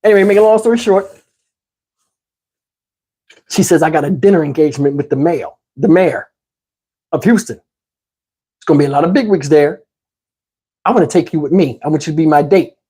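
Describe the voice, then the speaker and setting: Husky-voiced man telling a casual comic story. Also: on the high side, normal speed, long pauses. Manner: animated, slangy, acts out dialogue. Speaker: storyteller, channel host. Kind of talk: casual comedic personal storytelling.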